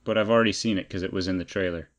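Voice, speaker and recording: A man's voice: sits low, steady tone, very fast. A man co-hosting a podcast, chatting casually about games and films in a probing, opinionated way. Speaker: podcast co-host. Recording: dull, band-limited lo-fi sound.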